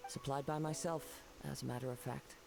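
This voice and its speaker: Thoughtful male wanderer for anime game, played by a man; measured, gravelly, mid-pitched, music underneath. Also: fairly even intonation, natural voice. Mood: calm, reflective. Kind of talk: anime video game voice acting.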